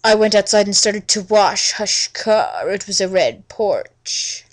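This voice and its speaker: A woman's voice: mid-range voice, animated, slow pace. A woman giving a mock-dramatic first-person story reading, with melodramatic, exaggerated emphasis. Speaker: reader of a story. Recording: music under the voice.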